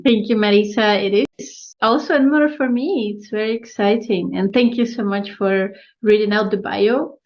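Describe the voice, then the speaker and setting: A woman with a Ukrainian accent talks through her story as a podcast guest, pausing and restarting often, with light laughter. Her pitch sits mid-range, and the audio is somewhat dull and lo-fi. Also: a little mellow. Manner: thoughtful, many fillers and restarts, laughs lightly. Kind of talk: casual interview talk.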